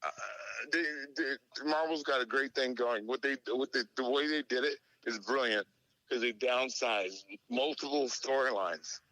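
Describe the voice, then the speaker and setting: A man calling in to a podcast over speakerphone, giving casual opinions in a halting, repetitive, sweary way. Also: medium pitch, sharp tone, no echo, poor audio. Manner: halting, repeats words, sweary. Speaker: podcast call-in guest. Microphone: phone call played on speakerphone.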